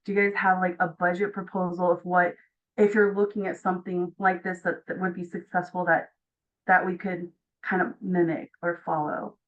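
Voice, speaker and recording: A woman's voice: fairly low pitch, little pitch movement, moderate pace. A woman from Tonga asking a question on an online call in an enthusiastic, casual, informal way. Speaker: audience member asking a question. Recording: muffled online call audio.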